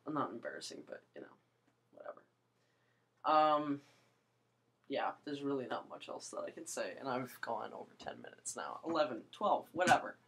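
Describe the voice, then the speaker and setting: A young woman with a low voice, rambling hesitantly through a casual video monologue with many fillers and restarts. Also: fairly bright tone, slightly rough. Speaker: vlogger.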